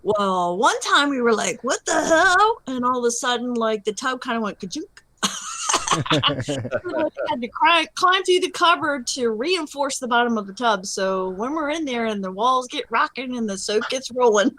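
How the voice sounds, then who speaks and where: A woman's voice: a little high, lively intonation, moderate pace, background noise. A woman in her fifties telling cheeky, suggestive stories in a casual group voice chat, laughing as she teases. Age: 50s. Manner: flirty, giggly teasing storyteller.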